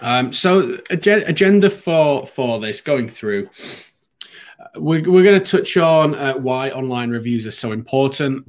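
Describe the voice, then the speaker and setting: A man presents a live online webinar in a loose, conversational way. He is a little sniffly from hay fever and often restarts his sentences with ums. His voice sits at a middling pitch for a man. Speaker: webinar host.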